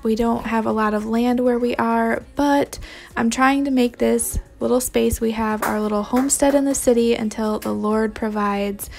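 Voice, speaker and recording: Young woman vlogging casually, creaky high voice. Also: fairly even intonation, moderate pace, darkish tone, faint music behind. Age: young adult. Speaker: homemaking vlogger. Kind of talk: casual vlog narration.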